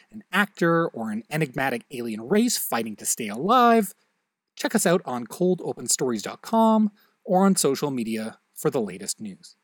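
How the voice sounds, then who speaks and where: A man's voice: medium pitch, no room sound. A man hosting an audio drama podcast, reading a scripted outro in friendly direct address to listeners. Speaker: host and producer of audio drama.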